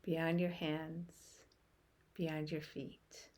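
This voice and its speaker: A woman leading a guided meditation in a soft, hushed voice that trails toward a whisper, with long pauses between short phrases. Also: sits low, flat delivery.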